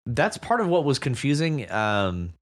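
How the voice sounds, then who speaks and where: A man gives casual commentary as a podcast co-host. His mid-pitched voice moves around in a lively way. He sounds enthusiastic and is happy to swear. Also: a little slow.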